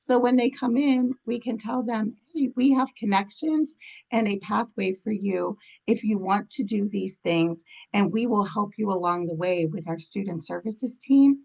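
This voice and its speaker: Female program officer, informal explainer, cold-scratched voice with noticeable fry. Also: mid-range voice, measured pace, a little mellow, low-quality recording. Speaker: nonprofit program officer presenting.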